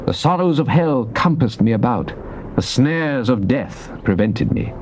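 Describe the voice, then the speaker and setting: An actor playing a devout, earnest psalmist, reciting scripture in a solemn, measured, declamatory theatrical style. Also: male voice, medium pitch, expressive, darkish tone. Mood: fervent. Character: devout psalmist, earnest man praying to God. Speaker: dramatized scripture reader. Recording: dull noisy cassette tape transfer.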